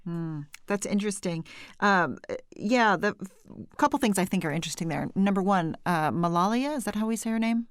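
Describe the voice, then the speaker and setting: A woman from California hosting an interview, chatty and often laughing, with some vocal fry. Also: mid-range voice, animated, brisk, no room sound, studio quality. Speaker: podcast host. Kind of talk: conversational interview.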